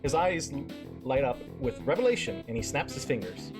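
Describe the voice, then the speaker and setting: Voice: mid-range voice, very animated, normal speed, over music. A streamer reading a visual novel aloud live, often stumbling and repeating phrases.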